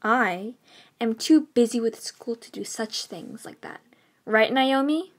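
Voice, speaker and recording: A woman as a high-voiced, sly teenage sister. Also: slow. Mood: prim. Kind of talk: audiobook character acting.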